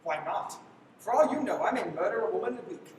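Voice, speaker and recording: In a big echoing hall, far from the mic, a young woman plays a brash fugitive man in a radio play. The delivery is sardonic and bossy, swinging widely in pitch. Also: expressive, fast, darkish tone, natural voice. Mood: stern, threatening. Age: teens. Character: brash sardonic man on the run, wrongly accused. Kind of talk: live stage radio play acting. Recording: echoey hall from far off, faint rumble.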